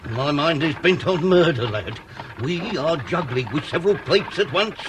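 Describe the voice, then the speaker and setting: An actor playing a pompous, wordy Victorian police inspector with an English accent and theatrical, drawn-out emphasis, in a period comedy radio drama. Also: male voice, fairly high pitch, expressive, background noise, close to an everyday voice. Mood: brisk, ponderous. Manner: theatrical, orotund, drawn-out emphasis with occasional stammer.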